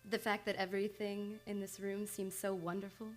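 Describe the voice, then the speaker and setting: Actress as young woman psychoanalyst, earnest, devoted, mid-pitched, radio play, soft music underneath. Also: flat delivery, moderate pace, natural voice. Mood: tender, shy. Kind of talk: live old-time radio play acting.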